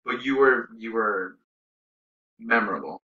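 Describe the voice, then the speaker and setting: A man's voice: mid-range voice, lively intonation, a little slow, sharp tone, lo-fi sound. A man in his thirties hosting a casual, chatty talk show. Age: 30s. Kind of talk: casual chat and talk to viewers.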